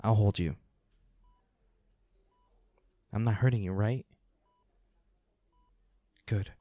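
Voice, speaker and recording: A man playing a protective, defiant hunter boyfriend in an intimate roleplay audio drama, speaking unhurriedly with sighs and pauses. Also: fairly low pitch, warm tone, no room sound, natural voice. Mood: tender, weary. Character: protective, defiant boyfriend, a monster hunter, tender but ruthless.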